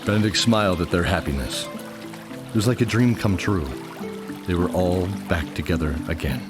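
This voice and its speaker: A man's voice: deep voice, normal speed. A man narrating a scripted fantasy radio drama as its storyteller, with music underneath.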